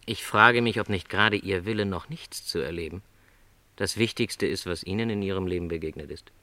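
Lonely travelling salesman, middle-aged, dreamy, rhapsodic, bright low-set voice, radio drama. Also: fairly quick, no echo. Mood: wistful, musing. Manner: reflective, rhapsodic storytelling in careful, literary phrasing. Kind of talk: literary radio drama dialogue.

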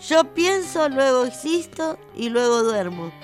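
A woman's voice: high voice, very animated, unhurried, soft background music. A woman with a Rioplatense Argentine accent co-hosting, trading playful lines in a radio sketch. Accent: Rioplatense Argentine Spanish. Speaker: radio co-host.

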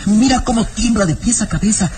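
A woman playing a high, anxious little boy. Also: brisk, a little mellow, natural voice. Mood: worried. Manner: anxious, pleading. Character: young boy sidekick, timid and worried. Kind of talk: 1960s radio drama acting. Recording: noisy old radio recording.

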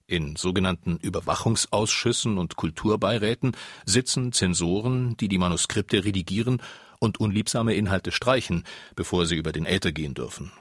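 A man's voice: low voice, normal speed, slightly rough, no room sound, studio quality. A man narrating a scripted radio documentary.

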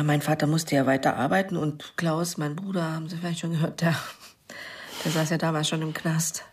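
An actress playing a middle-aged sister in a naturalistic radio crime drama, giving a plain, hesitant, colloquial account. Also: female voice, low-pitched, vocal fry. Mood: subdued. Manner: hesitant, matter-of-fact, colloquial.

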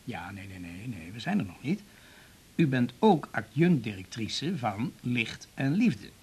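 An actor playing a businesslike, sceptical and insistent police investigator in a 1980s radio drama, with a Netherlands Dutch accent. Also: male voice, medium pitch, sing-song, unhurried, a little mellow, slightly rough, full character voice. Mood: probing, matter-of-fact.

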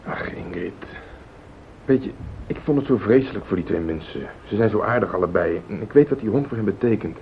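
Rattled, evasive husband, low voice. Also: male voice, lively intonation, brisk, vocal fry. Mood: remorseful, pleading. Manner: agitated, pleading, self-justifying. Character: rattled, evasive husband hiding a car accident. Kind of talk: Dutch radio play acting. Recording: muffled phone-like old radio recording, rumbling hiss.

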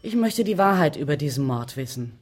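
An actress playing a persistent, composed investigative journalist in a radio crime drama, husky and probing. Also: female voice, low voice, very animated, brisk, a little mellow, a little hiss or hum. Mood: determined. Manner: probing, insistent.

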